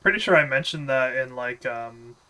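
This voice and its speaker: Male game streamer, bright voice, easygoing rambling chat with viewers. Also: mid-range voice, lively intonation, moderate pace, low-quality recording. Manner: laid-back, rambling, answers chat questions with small laughs. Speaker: gaming streamer. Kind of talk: casual gameplay chat with viewers.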